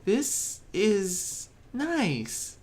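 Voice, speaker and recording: A narrator voicing a timid, gentle female pony who mutters softly and anxiously in a storybook reading. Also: mid-range voice, huge pitch swings, extremely slow, voice pushed up, heavily acted. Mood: relieved, calm. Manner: soft muttering, fretful.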